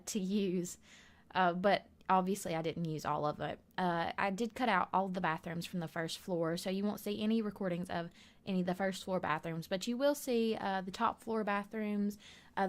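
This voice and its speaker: A hoarse woman chats loosely over her build footage, her voice sitting a little low. She drifts between thoughts with plenty of fillers and soft laughs, like a home video creator talking to her viewers. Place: bedroom at home.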